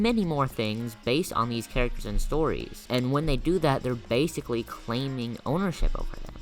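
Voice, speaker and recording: Medium-pitched man narrating a video essay. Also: fairly bright tone.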